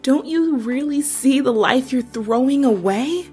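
A woman's voice: sits high, a little slow, a little mellow, soft background music. A woman voicing a tough biker around thirty, stern but caring, blunt and scolding in a character demo. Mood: stern, reproachful.

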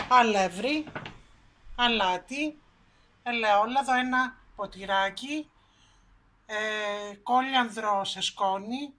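A woman hosting a home cooking video, calmly walking through the ingredients and steps in her kitchen. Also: on the high side. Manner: calm step-by-step narration, listing ingredients. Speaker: cooking show host.

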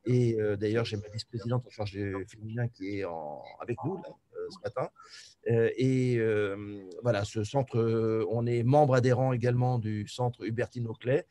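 A man presenting as an association president on a video call, halting and searching for words. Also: fairly low pitch, fairly even intonation, dark tone.

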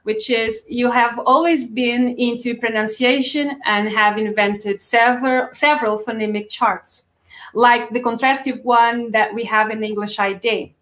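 A woman hosting a live webinar, putting questions to the guest in a polite, steady way over a video call. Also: on the high side, measured pace. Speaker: webinar host. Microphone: webcam on a video call.

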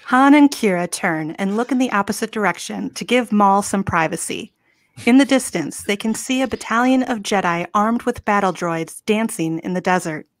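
A woman's voice: mid-range voice, normal speed, dry recording. Woman narrating stage directions, dry close sound. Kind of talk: scripted narration at comedy table read. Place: home room. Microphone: home video call.

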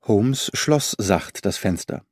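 Male audiobook narrator, mid pitch, lively melodic reading, dry close recording. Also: slow, breathy edge, no room sound. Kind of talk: scripted first-person narration of a German audiobook.

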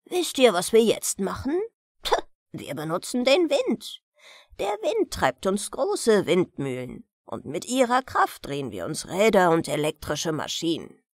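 Boastful, defiant villagers rally one another in a storybook tale, acted out by a woman reader. The delivery is emphatic and confident, swinging widely in pitch, in a dry recording. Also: huge pitch swings, unhurried, no echo, exaggerated voice. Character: defiant, boastful townspeople scheming without the sun. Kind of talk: storybook character reading.

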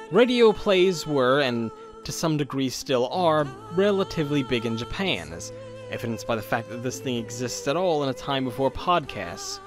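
A man narrating a scripted video essay as its host, with wry, tongue-in-cheek asides. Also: mid-range voice.

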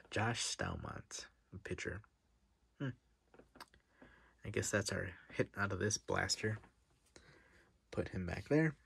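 A man's voice: low voice, gravelly, fairly bright tone, breathy edge, no echo. A middle-aged man with a stuffy nose chatting casually as he opens packs of cards at his desk, reading out names and sometimes dropping to a near-whisper. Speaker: card-opening vlogger. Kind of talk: casual commentary while opening card packs. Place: desk in front of a wall of card boxes.

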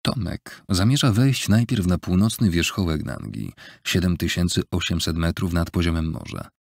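A man reading an audiobook in a measured, even storytelling manner, recorded on a close studio mic. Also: no echo. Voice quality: low gravelly husky voice. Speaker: audiobook narrator. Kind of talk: scripted audiobook narration. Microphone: close studio mic, dry.

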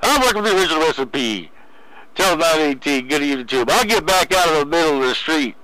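Male CB operator, sharp bright voice, teasing repetitive banter through a radio. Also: on the high side, animated, quick pace, dry recording, rough recording. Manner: teasing, repeats phrases. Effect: CB radio transmission. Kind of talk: casual radio banter in CB slang.

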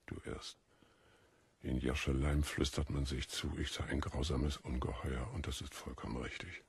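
Guy doing a cruel tired roman governor, super low husky voice, curt and commanding, audiobook. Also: flat delivery, no room sound, heavily acted. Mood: cold menace. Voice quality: gravelly, husky. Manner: slow, terse, imperious. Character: pain-racked, cruel, commanding Roman procurator, weary and menacing.

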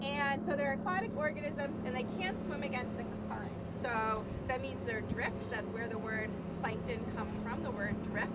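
A woman's voice: high voice, normal speed, few pauses. A woman educator teaching a student group about plankton on a boat, asking the class questions as she explains. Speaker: marine science educator. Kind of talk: teaching students on a field trip. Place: on a boat, deck and cabin. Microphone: handheld camera some distance off. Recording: distant voice under loud boat noise.

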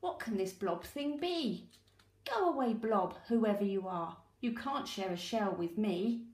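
A woman's voice: medium pitch, animated, measured pace, darkish tone. Woman voicing a grumpy little storybook crab, echoey. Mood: dismissive. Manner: bossy, complaining.

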